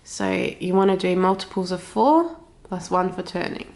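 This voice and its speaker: A woman's voice: lowish voice, moderate pace, creaky, darkish tone. A woman with a cold and a snuffly nose, chatting informally through a step-by-step craft tutorial. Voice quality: snuffly, slightly stuffed-up nose. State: has a cold. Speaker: crochet tutorial host.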